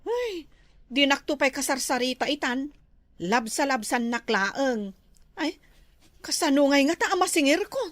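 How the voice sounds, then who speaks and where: Woman playing a nosy, high-voiced complainer. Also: animated, measured pace, no echo. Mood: aggrieved. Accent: Ilocano. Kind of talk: comedy drama acting.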